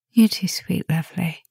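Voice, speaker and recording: A woman playing an affectionate, softly dominant vampire professor in an intimate ASMR roleplay, speaking softly and teasingly, often dropping into a whisper. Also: on the low side, creaky, slightly rough, no echo, studio quality, heavily acted.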